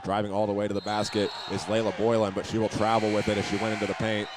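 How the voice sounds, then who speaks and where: Male basketball play-by-play announcer, lowish voice with little inflection, plain delivery with the odd stumble, over noisy gym sound. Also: level tone, fast. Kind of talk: live basketball play-by-play commentary. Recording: noisy gym ambience behind the voice.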